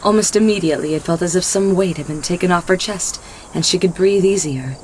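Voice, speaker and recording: Lower-voiced woman narrating a story. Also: a little fast, a little mellow, slight background noise, poor audio.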